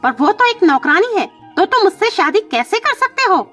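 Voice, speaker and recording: Woman voicing a shrill, blunt male crow. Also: very animated, normal speed, fairly bright tone, faint music behind, exaggerated voice. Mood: surprised, questioning. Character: frank male crow, the parrot's friend. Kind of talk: Hindi children's cartoon story dialogue.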